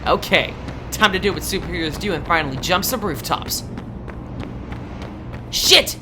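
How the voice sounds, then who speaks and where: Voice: medium pitch, normal speed, background noise, close to an everyday voice. A performer playing a sarcastic, insecure teenage boy who narrates his would-be superhero life with wry self-mockery in a comic dub. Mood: wry, frustrated. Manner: wry, self-mocking, expressive. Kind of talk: first-person narration and comic dub acting. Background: music.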